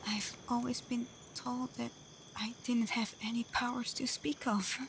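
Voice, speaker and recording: A woman voicing a young, devoted, vulnerable half-blood kitsune in an emotional ASMR roleplay, often slipping into breathy whispers. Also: fairly high pitch, level tone, unhurried, slight background noise. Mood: wistful, hesitant. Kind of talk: ASMR roleplay voice acting addressed to the listener.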